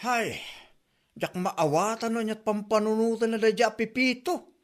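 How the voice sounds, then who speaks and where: A man's voice: high-pitched, unhurried. A man with an Ilocano accent playing a grumbling villager in a comedy drama. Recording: faint background music.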